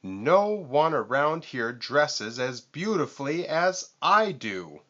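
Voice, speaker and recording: A man voicing a vain, boastful storybook vulture with a squawky, screechy voice and theatrical, drawn-out emphasis. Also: a little high, sing-song, a bit bright, highs cut off, voice pushed up, full character voice. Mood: boastful, smug.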